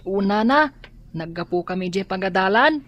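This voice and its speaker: A woman acting as a gentle, wistful blind child in an Ilocano radio drama. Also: mid-range voice, lively intonation, moderate pace, highs cut off, lightly acted. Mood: polite.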